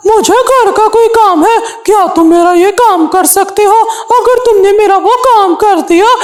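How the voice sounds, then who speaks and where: Woman voicing a bossy, very high-pitched cartoon crow. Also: a little slow. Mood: demanding. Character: bossy, sly male crow who exploits friends. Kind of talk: Hindi children's cartoon dialogue.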